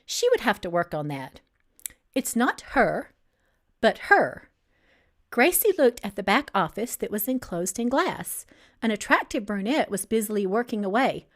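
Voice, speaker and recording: A woman's voice: medium pitch, big pitch swings, moderate pace. A woman reading a novel aloud as narrator, in the style of an author's live audiobook reading.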